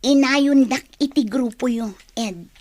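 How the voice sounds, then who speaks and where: A woman's voice: sits high, measured pace, a little mellow, no echo. A woman playing a headstrong, resentful young student in an Ilocano radio drama, speaking with defiance. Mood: weary, resolved. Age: young adult.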